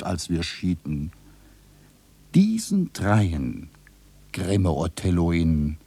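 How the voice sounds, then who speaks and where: A grave, soothing gentleman in a radio drama. The voice is very deep, husky and dark, declaiming slowly and solemnly in a dry, close sound. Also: no echo, full character voice. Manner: slow, solemn, theatrical declamation. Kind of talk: German radio play acting.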